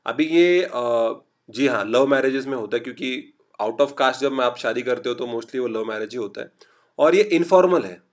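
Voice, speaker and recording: A man's voice: medium pitch, lively intonation. A man from Maharashtra with a slight Marathi accent, teaching a live online class in a chatty style and mixing in English. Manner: chatty, reads out students' typed replies; urges quick answers, mixes English. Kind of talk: online lecture.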